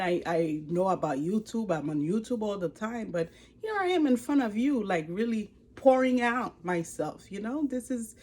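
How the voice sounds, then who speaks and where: A woman in her forties with a Haitian accent, giving an earnest, expressive personal testimony to camera. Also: mid-range voice. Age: 40s. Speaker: life coach and ministry leader. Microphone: speaking to a camera.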